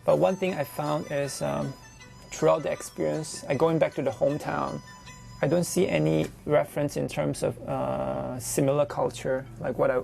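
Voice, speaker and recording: Very creaky-voiced Malaysian man. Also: on the high side, level tone, darkish tone, faint music behind. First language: not English. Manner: halting, searching for words. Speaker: visual artist interviewed for a TV profile. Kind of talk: unscripted personal storytelling and explanation of own work.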